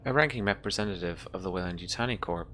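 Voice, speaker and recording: A man voicing an emotionless ship's computer in a tabletop roleplaying game, speaking in measured, impersonal phrases. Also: deep voice, fairly bright tone, slight background noise. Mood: flat, informative. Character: formal, emotionless ship's computer called Mother. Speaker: game master.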